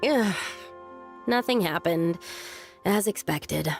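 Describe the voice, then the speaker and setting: A woman playing an earnest, polite young woman with a hesitant, expressive manner in an anime game. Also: lowish voice, slow pace, gravelly, soft background music, full character voice. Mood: resigned.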